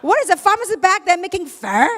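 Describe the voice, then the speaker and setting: A woman with a high, bright-sounding voice, whose first language is Chinese, delivers an emphatic motivational talk at a business seminar. She keeps asking the crowd rhetorical questions. Her voice rings out with the long reverb of a large hall. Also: big pitch swings, fast. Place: large hall with a live audience.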